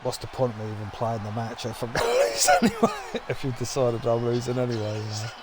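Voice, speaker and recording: A man's voice: medium pitch, fast talker. A man giving casual, grumbling, sarcastic commentary as he plays a game. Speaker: gamer commenting on gameplay. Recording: noisy, with crowd sound behind.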